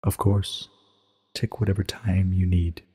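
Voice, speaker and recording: A man voicing an ancient, regretful pony princess in a dramatic story reading, grave and measured, with a soft husky voice. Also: low-pitched, little pitch movement, big-room sound, full character voice. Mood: solemn, resigned.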